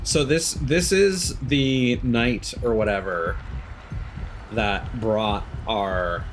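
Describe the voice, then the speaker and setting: A man's voice: on the low side, extremely slow, noisy background. A man streaming a video game, thinking aloud in casual commentary that rambles through frequent ums and restarts.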